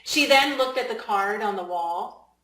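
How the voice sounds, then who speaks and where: A woman reading a children's storybook aloud as its author, in an expressive, deliberate way with emphasis for young listeners. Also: mid-range voice. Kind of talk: storybook read-aloud narration. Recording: echoey room.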